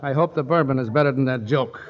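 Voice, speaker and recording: An actor playing a wisecracking, flirtatious lawyer in a 1940s radio comedy, sardonic and quick with wry quips. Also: male voice, medium pitch, dull audio, natural voice. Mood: smooth-talking. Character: wisecracking, flirtatious male lawyer who likes a drink. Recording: old 1940s radio broadcast.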